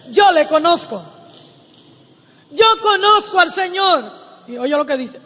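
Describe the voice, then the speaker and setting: A woman preaching a sermon in a church, emphatic, repeating her phrases and rising to shouts. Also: very high voice. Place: church sanctuary. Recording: long hall reverb.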